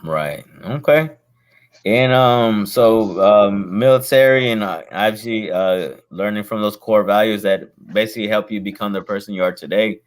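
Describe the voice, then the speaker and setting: Friendly rambling male host, low voice. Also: normal speed. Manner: friendly, rambling, says "bro" and "my man". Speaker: host, real estate investor. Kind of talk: casual interview chat.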